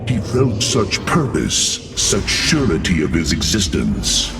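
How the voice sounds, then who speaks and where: A noble alien war machine, speaks very low and slowly, with a gravelly rumble. He is solemn, proud and sentimental, as in an epic video game, and sounds set back from the mic. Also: wildly swooping pitch, recorded from a distance, music in the background, exaggerated voice. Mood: wistful. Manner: solemn, weighty, heartfelt. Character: deep-voiced, earnest, noble mechanical alien warrior, sentimental and proud. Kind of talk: dramatic video game voice acting.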